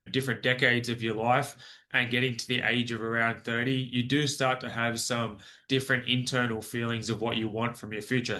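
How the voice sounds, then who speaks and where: Australian man in his forties, bright tone. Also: mid-range voice. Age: 40s. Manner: earnest, rambling with many restarts. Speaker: guest. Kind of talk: podcast interview, personal story.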